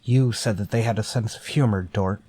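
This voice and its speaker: A man's voice: on the low side, normal speed, dark tone, slightly rough, no room sound. A man playing a stern, suspicious starship captain in a radio sci-fi drama, commanding and insistent. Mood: grimly skeptical. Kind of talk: golden age radio sci-fi drama acting.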